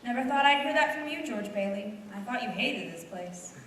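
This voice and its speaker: Woman acting wistful small-town woman, determined, coy, higher pitch, radio play, heavily echoed, faint noise. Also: lively intonation, normal speed, fairly bright tone. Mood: wistful, resolved. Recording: echoey hall, distant mic, faint noise.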